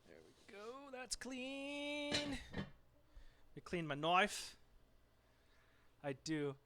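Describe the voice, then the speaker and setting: A soft-spoken man chatting casually with viewers as he cooks and cleans up in his home kitchen, reading chat messages aloud. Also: high voice, very slow, background noise. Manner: relaxed, chatty, talks to viewers while working. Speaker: streaming home cook.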